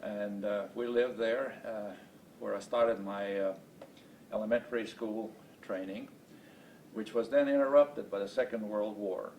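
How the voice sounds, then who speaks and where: Old guy in his 70s from estonia, estonian native speaker, halting interview answers, recorded away from the mic with rumbly noise. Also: fairly even intonation, darkish tone. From Estonia, later Baltimore, USA. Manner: halting, deliberate, frequent long hesitations. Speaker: interview guest, space program veteran. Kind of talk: oral history interview, recollection. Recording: distant mic, noisy rumbling background.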